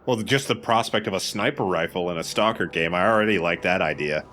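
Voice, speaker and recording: A low-pitched male voice in easygoing, informal chat, reacting to a video game as a co-commentator. Faint noise and ambience sit behind him. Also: a little fast, bright, forceful tone. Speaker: let's play co-commentator. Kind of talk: casual chat, reacting to gameplay.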